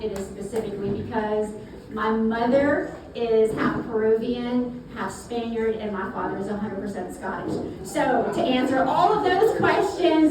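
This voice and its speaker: A middle-aged woman with a South Carolina accent, speaking as a guest at a networking event in a folksy, chatty way. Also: on the high side. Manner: folksy, chatty, repeats words. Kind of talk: networking talk to a live audience. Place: event space. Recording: echoey room from far off, noisy.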